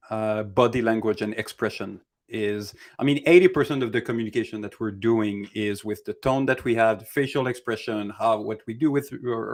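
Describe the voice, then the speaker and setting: A man with a French Canadian accent speaks in a fairly low voice. He is a native French speaker co-hosting a casual live Q&A. His delivery is hesitant, as he often searches for the right word. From Montreal, French Canada. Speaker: Q&A co-host.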